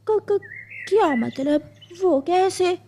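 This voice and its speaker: Woman playing coy, high-voiced cartoon crow bride. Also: animated, slow, mellow, slight background noise, heavily acted. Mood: coy, hesitant. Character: coy, sly young female crow bride. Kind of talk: Hindi cartoon story acting.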